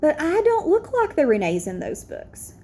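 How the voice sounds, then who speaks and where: Woman reading as a proud young schoolboy, high voice. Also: huge pitch swings, normal speed, dark tone. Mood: earnest, proud. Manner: earnest, declarative. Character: proud, determined young immigrant schoolboy telling his story.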